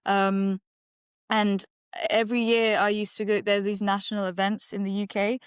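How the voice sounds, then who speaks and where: A woman talks through her answers in a podcast interview, pausing often and restarting her thoughts. Her voice is smooth and clear, and it is recorded close and dry. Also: mid-range voice, little pitch movement, no room sound. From United Kingdom. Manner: reflective, many fillers and restarts. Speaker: guest, dentist and singer-songwriter. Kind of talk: conversational interview.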